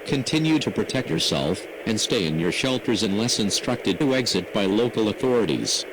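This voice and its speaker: A man reading a scripted emergency broadcast announcement in a formal, measured, impersonal manner. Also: on the low side, expressive. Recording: noisy emergency radio broadcast audio.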